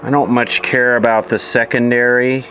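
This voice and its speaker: A man giving casual, off-the-cuff repair commentary, halting as he thinks aloud while working. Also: medium pitch, level tone, pushed and bright, rough recording. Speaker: repair video host, vintage electronics hobbyist. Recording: clear background noise from a workbench recording. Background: ambient noise, passing aircraft.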